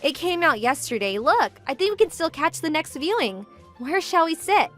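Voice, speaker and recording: A woman's voice: high-pitched, sing-song, moderate pace. A woman playing a composed, forward young businesswoman in a manga dub, speaking politely and matter-of-factly. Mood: playful, cheerful. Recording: background music under the voice.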